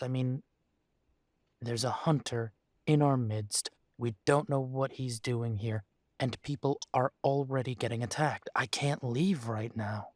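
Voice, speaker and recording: A man playing a troubled, tender werewolf boyfriend in an intimate roleplay audio drama, talking haltingly with sighs. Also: medium pitch, no room sound, studio quality.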